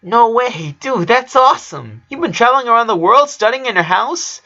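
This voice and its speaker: Man voicing an excitable, higher-pitched young boy. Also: moderate pace, highs cut off, faint music behind, exaggerated voice. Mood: amazed. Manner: excitable, curious. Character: eager, wide-eyed eleven-year-old boy.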